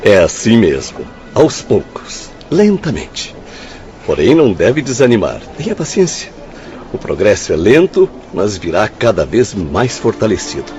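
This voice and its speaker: Kindly male mentor, deep voice, lilting and animated, reassuring, formal, radio drama, music behind. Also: unhurried. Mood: kindly, encouraging. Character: Romeu, kindly encouraging male spirit instructor. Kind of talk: audio drama acting. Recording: dull audio with music behind.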